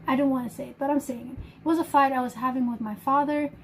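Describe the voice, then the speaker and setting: High-voiced woman with a German accent. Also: fairly even intonation, fast, noisy background. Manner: emphatic, repeats and restarts phrases. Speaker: livestream host. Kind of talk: casual live-stream chat.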